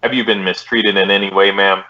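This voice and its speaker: A man playing a polite, by-the-book police detective with a deadpan, clipped delivery in a radio drama. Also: fairly low pitch, brisk, dull audio. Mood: stoic, firm. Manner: deadpan, clipped, matter-of-fact.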